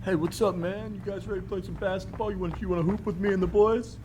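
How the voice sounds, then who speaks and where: A man's voice: sits high, steady tone, quick pace, background noise, voice pushed up, lightly acted. A man voicing a friendly, easygoing basketball player in an improvised comedy. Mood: chummy. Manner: chummy, easygoing.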